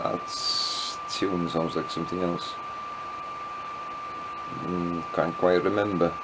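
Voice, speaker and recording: Welsh guy a bit tipsy, rambling slow, keeps losing his thread, humming noisy recording. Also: low-pitched, little pitch movement. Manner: meandering, loses his thread, self-deprecating asides. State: tipsy after wine. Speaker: vlogger. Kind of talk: rambling evening video diary.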